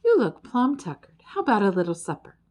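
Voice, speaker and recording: A kindly female storybook creature, part giraffe and part mouse, speaks with folksy graciousness, put on by a woman reader. The delivery is very animated, cheerful and full of encouragement. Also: medium pitch, a little fast, pitched above the performer's own voice. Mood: gracious, welcoming. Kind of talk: children's storybook character voice.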